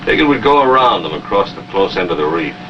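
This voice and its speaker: A confident American submarine commander speaks in a low voice with brisk authority. The 1950s war drama sound is very noisy and distant. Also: male voice, very animated, muffled recording. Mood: calm, confident.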